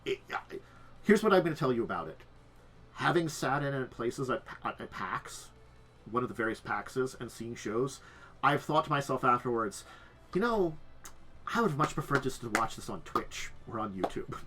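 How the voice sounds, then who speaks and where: Man, casual podcast host, bright voice, chatty, laughs a lot. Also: medium pitch, very animated, moderate pace, faint music behind. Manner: chatty, self-deprecating, laughs often. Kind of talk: casual chat.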